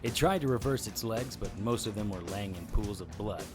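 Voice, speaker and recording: A tough, wisecracking monster hunter voiced by a man narrates an audio drama in a low register. The delivery is dry, wry and noir, with punchy phrasing. Music plays clearly behind the voice. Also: brisk, natural voice. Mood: tense narration. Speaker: lead actor narrating in first person. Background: music bed under the voice.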